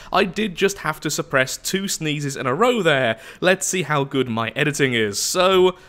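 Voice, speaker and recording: A man hosting casual gameplay commentary while sick with the flu and losing his voice, speaking in a lively, upbeat way. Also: fairly high pitch. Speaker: gaming channel host.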